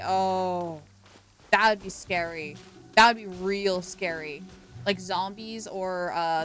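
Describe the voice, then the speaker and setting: A woman hosting a game livestream, chatting casually and reacting playfully and expressively to what happens. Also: mid-range voice.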